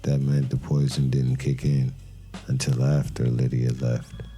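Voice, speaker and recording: A man playing a brooding detective, narrating a crime drama in a somber, deliberate noir style with dramatic pauses. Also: a bass voice, flat delivery, dark tone. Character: brooding male detective narrating a murder case. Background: music.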